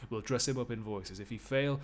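Man reading as a measured, scheming Greek statesman. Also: mid-range voice, slight background noise, close to an everyday voice. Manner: declamatory verse reading.

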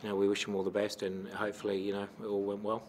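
A middle-aged man with an Australian accent, a football coach answering questions at a post-match press conference into a table microphone, measured and full of fillers. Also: on the low side, completely flat delivery, darkish tone, a little hiss or hum. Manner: measured, many fillers and restarts. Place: press conference room with sponsor backdrop.

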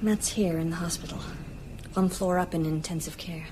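A woman's voice: on the low side, steady tone, normal speed, background noise, natural voice. An actress in a radio drama playing a sceptical, shaken young woman who speaks hesitantly to the man she loves. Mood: hesitant, troubled. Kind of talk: naturalistic radio drama dialogue.

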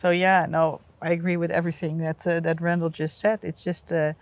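Middle-aged woman, flat delivery, gravelly edge, halting speech, lapel mic outdoors. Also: on the low side. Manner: halting, many fillers and restarts, laughs easily. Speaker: energy company representative. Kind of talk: outdoor interview. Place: outdoors at an industrial port site.

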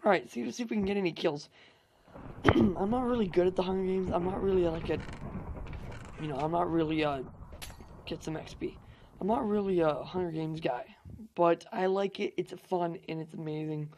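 A young man hosting a gaming channel, hoarse from losing his voice, rambling through casual gameplay commentary with fillers and swearing. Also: high-pitched, normal speed, long pauses, background noise.

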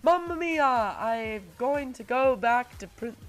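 A woman voicing an excitable, money-loving cartoon plumber, a grown man, with a wavering Italian accent and gushing exclamations, in a game parody. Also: fairly high pitch, slow, soft background music, voice pushed up. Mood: gleeful. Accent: put-on Italian, wavering. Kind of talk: improvised video game parody.

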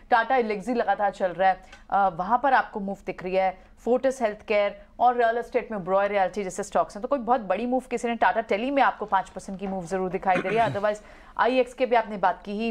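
A female market reporter giving live commentary in a hesitant way, with many filler sounds. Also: medium pitch.

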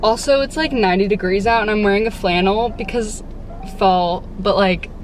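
A bubbly young woman, chatting to her phone camera while sitting in a parked car. Her talk is loose and full of "like"s. Soft music plays clearly under her voice the whole time. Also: mid-range voice, normal speed. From Texas. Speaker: book vlogger. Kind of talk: casual vlog chat to camera. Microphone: phone camera at arm's length.

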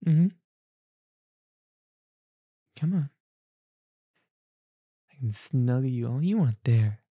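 A woman doing an ASMR roleplay as a mother comforting her child, speaking in a soft, cooing, soothing way close to the mic. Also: a bass voice, animated, measured pace, slightly rough, no echo. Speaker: roleplay performer.

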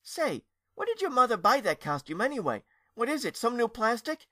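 A man's voice: extremely high pitch, sing-song, moderate pace, sharp tone, no room sound, studio quality, pitched above the performer's own voice, exaggerated voice. A man doing a brash little clown-suited boy. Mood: friendly, startled. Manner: brash, curious. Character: bold chatty little boy in clown suit.

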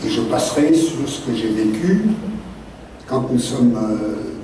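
An older man, a guest author seated at a desk microphone, telling memories in a halting, digressive way full of fillers. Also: medium pitch, expressive, background noise. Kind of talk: rambling spoken memoir talk. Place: literary café meeting room. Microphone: desk mic on stand, seated. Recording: very echoey room, mic at a distance.

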